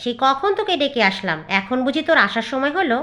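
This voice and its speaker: A woman voicing a gossipy, disapproving young village housewife in a cartoon, with an animated, scandalised manner. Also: sits high, fast, exaggerated voice. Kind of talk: cartoon acting.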